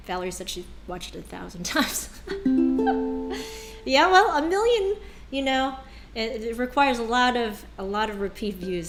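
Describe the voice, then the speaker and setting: A woman's voice: mid-range voice, low-quality recording. A woman teaching ukulele in a casual live jam lesson, chatty and calling out strum patterns and chords. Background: ukulele playing.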